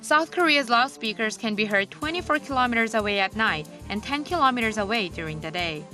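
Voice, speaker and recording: A woman's voice: on the high side, expressive, brisk, over music. A woman reporter reading a scripted news feature narration.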